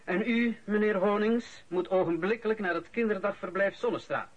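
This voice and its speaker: An actor playing a stern, officious boss in a Dutch radio drama, formal, commanding and sarcastic. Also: male voice, sits high, normal speed, highs cut off. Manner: formal, commanding, clipped politeness.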